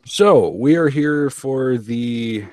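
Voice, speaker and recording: A man guest hosting a casual panel interview, chatty and a little halting as he puts questions. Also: mid-range voice, lively intonation, darkish tone, no room sound. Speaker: guest podcast host.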